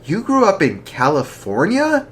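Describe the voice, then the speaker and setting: A man's voice: mid-range voice, sing-song, moderate pace, a bit bright, husky, pitched above the performer's own voice. A storyteller voicing a weary young man on the run, speaking earnestly, close to their own voice.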